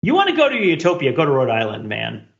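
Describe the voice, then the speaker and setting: A man hosting a casual panel podcast, rambling in an animated way and repeating phrases as he thinks aloud. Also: a little high, fast talker, vocal fry, lo-fi sound. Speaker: podcast host.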